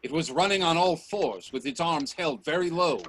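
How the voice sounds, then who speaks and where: A man playing a bold, inquisitive gentleman explorer in a radio play, speaking in deliberate, theatrical statements. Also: on the high side, lively intonation. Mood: grim wonder. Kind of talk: radio play dialogue acting. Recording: faint noise on video-call audio.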